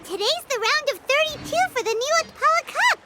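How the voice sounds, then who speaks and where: A woman voicing a small, childlike fairy companion in a game, squeaky, excitable, bossy and cheeky. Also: brisk, music in the background. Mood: fired up. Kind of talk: animated game voice acting.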